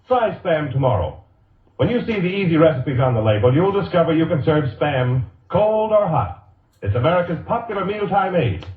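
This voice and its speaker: A man's voice: fairly high pitch, far from the mic. A man reading a mid-century radio commercial in a polished, emphatic salesman's delivery. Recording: narrow, roomy vintage radio recording.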